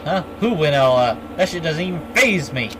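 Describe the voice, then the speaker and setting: A man's voice: on the high side, very animated, moderate pace, background noise. A man voicing a brash young delinquent from a video game, slangy and swaggering. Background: game music.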